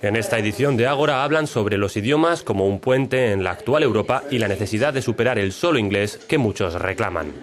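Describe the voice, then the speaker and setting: A man giving a scripted news introduction as presenter, in a brisk, even delivery. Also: on the low side, crowd noise. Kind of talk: scripted narration.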